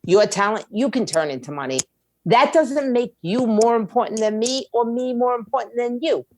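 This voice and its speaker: A woman's voice: fairly high pitch, animated, normal speed, darkish tone, slight background noise. Blunt, emphatic woman giving her opinion. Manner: blunt, emphatic, uses slang and rhetorical questions. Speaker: music industry attorney and indie label owner, interview guest. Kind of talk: opinionated interview talk.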